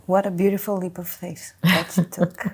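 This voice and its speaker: A woman's voice: fairly low pitch, level tone, normal speed, breathy edge. Woman interviewer, encouraging. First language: Portuguese. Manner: encouraging, with brief affirming interjections. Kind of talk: interview, hosting.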